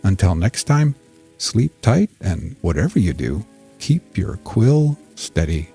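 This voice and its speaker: Low, mellow male storyteller over music. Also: sing-song, takes it slowly, breathy edge. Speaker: host and narrator of a fiction podcast. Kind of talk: scripted story narration.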